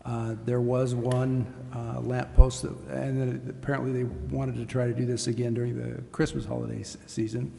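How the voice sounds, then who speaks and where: A man speaking as a city official at a public meeting, explaining in hesitant, deliberate phrases. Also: medium pitch, little pitch movement, gravelly, mellow. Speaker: city administrator. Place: council chambers. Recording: reverberant hall with faint noise.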